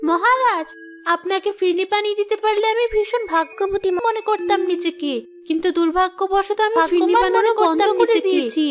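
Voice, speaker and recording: A woman's voice: extremely high pitch, fairly quick. A woman voicing a humble, anxious young village cook in a fairy-tale cartoon, earnest and polite.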